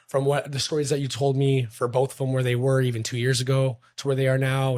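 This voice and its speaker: A young man hosting a casual interview, talking loosely with many fillers and restarts. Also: mid-range voice. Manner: rambling, lots of fillers and restarts. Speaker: podcast host.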